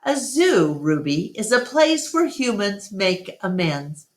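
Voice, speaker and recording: A woman voicing an earnest, gentle male gorilla who speaks hesitantly as a protector in a children's storybook. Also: mid-range voice, big pitch swings, slow pace, pitched above the performer's own voice. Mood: tender, hopeful. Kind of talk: children's storybook read-aloud.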